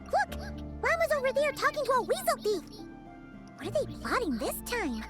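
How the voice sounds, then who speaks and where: Squeaky, excitable tiny fairy girl. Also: normal speed, full character voice. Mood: curious. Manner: squeaky, excitable, refers to herself in third person. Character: tiny cheerful childlike fairy girl companion. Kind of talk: video game cutscene acting. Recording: loud music under the voice.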